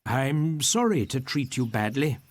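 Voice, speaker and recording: A man's voice: medium pitch, big pitch swings, a little slow, dry recording. A man voicing a kindly father in a storybook. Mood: tender, happy. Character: kindly, contrite father giving his blessing and orders. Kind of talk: storybook character voice.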